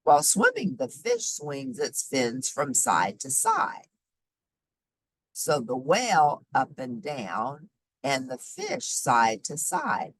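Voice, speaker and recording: A woman reading a children's nonfiction book aloud in an expressive, emphatic, teacherly way, pausing for short explanatory asides. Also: rumbling low, dry recording. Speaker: read-aloud host.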